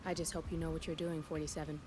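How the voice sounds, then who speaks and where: A woman's voice: deep voice, flat delivery, fast, noisy background, natural voice. A woman playing a dry, businesslike hacker informant in a video game, briefing in a matter-of-fact, wry way.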